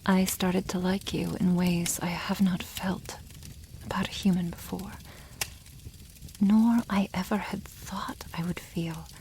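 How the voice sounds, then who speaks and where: A female dragon played by a woman speaks in an intimate ASMR roleplay, protective and secretly smitten. Her voice is hushed and slightly low, with plenty of fry, and she shapes formal sentences very slowly. Faint noise sits behind her. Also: little pitch movement, poor audio. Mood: tender. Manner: slow, hushed, often breathy half-whisper, formal wording.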